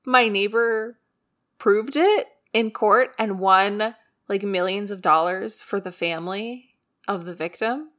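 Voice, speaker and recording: A woman's voice: mid-range voice, takes it slowly, fairly bright tone. A woman co-hosting a podcast in casual chat, rambling and saying "like" a lot. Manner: rambling, heavy use of "like". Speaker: podcast co-host.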